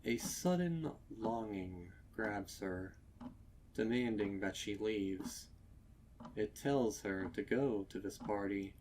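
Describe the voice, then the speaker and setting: A man reading a scripted horror story as narrator, with deliberate, dramatic delivery. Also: on the low side, darkish tone, slight background noise, low-quality recording. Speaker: narrator of fan fiction readings.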